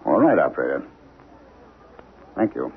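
An American actor playing a stubborn, intense husband turned amateur sleuth in a 1970s radio drama, with a hesitant, insistent delivery. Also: male voice, extremely low pitch, big pitch swings, normal speed, creaky, exaggerated voice. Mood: hesitant, persistent. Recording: noisy, narrow-band 1970s radio recording.

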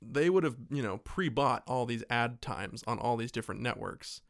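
A man co-hosting a podcast, casually retelling a film in a joking, animated way and happy to swear. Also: mid-range voice, moderate pace, vocal fry, no echo, clean studio sound. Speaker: podcast co-host. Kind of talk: casual film recap chat.

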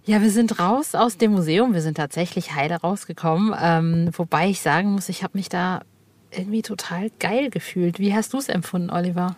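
A woman's voice: fairly low pitch, normal speed. A woman hosting a podcast in a casual, slangy, enthusiastic way, rambling and breaking off sentences as she goes. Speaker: podcast host. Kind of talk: casual, chatty podcast talk.

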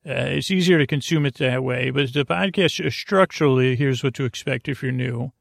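A man hosting a sleep podcast in a creaky voice, delivering a soothing, meandering bedtime monologue that halts and restarts mid-phrase. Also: mid-range voice, brisk, no echo, clean studio sound. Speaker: podcast host.

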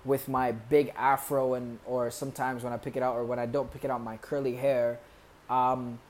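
A young American man, a podcast guest, casually telling animated stories with jokes and laughs. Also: mid-range voice, moderate pace. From United States. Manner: animated, joking, laughs through his stories. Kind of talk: casual conversational storytelling.

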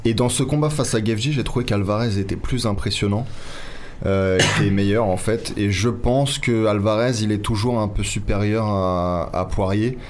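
A fairly low-voiced man shares his view in an informal sports chat. He builds his sentences haltingly, restating phrases, over faint room ambience. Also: a little hiss or hum. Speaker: sports panelist. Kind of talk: casual sports discussion.

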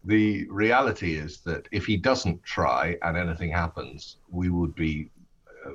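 Husky, low-voiced British male politician. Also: a little slow, fairly bright tone, highs cut off. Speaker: politician, interview guest. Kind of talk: political interview.

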